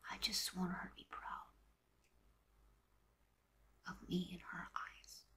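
Audio drama role: teasing, lovesick teen superhero boy, voiced by a woman, slow delivery in a hushed, heavily breathy whisper. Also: on the low side, level tone, exaggerated voice. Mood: wistful.

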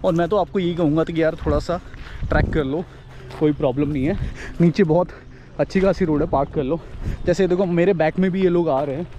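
A man's voice: a little high, steady tone, brisk, mellow, highs cut off. Chatty young man vlogging outdoors. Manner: chatty, mixes English words into Hindi. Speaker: travel vlogger. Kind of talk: casual walk-and-talk vlog. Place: outdoors at a hillside campsite. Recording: faint outdoor noise.

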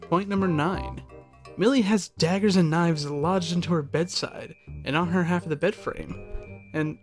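A narrator reading scripted episode commentary with casual asides. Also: medium pitch. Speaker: video narrator presenting episode facts.

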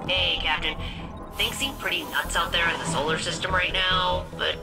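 A woman's voice: lowish voice, normal speed, over loud music, close to an everyday voice. An actress voicing a casual, sarcastic female ship AI in a video game. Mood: wry. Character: casual, wry female AI persona of the same ship.

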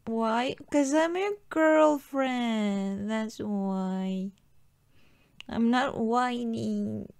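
A woman playing a playfully whiny, pouting girlfriend in an improvised ASMR roleplay, with halting, giggly, mock-sulky pleading. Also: a little high, creaky, darkish tone, clean tone, no room sound, studio quality, exaggerated voice. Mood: pouty, amused.

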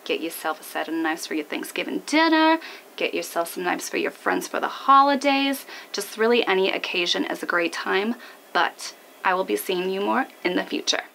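A woman's voice: medium pitch, lively intonation, moderate pace, vocal fry, fairly bright tone, slight background noise, rough recording. A woman in her thirties hosting a casual sailing vlog, chatty and upbeat, talking to a handheld camera. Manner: chatty, upbeat, a bit playful. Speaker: sailing vlogger. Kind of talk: casual vlog chat and sponsor read. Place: out on a fishing boat on open water. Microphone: handheld camera held at arm's length.

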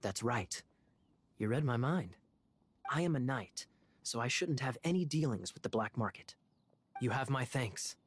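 A man playing a suave, sly knight captain in an anime video game, with a playful, measured delivery. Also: medium pitch, animated, slightly rough. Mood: sly, composed.

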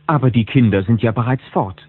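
A man's voice: medium pitch, a little fast, breathy edge, phone-quality sound, natural voice. A man narrating a children's audio drama as a storyteller, speaking to the listeners from a script. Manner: animated storyteller addressing listeners. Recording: band-limited old cassette with music.

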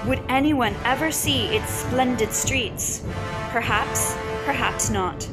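High-voiced woman reading aloud over loud music. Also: poor audio. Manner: measured, deliberate phrasing with pauses. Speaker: read-aloud teacher and storyteller. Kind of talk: expressive read-aloud of a children's picture book. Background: music behind the voice.

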